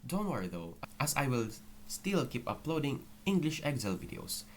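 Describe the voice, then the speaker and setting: A man's voice: medium pitch, sing-song, normal speed, slight background noise. A young man with a Filipino accent giving a candid, apologetic personal update to viewers, recorded straight on an unprocessed microphone. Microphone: raw microphone with no processing.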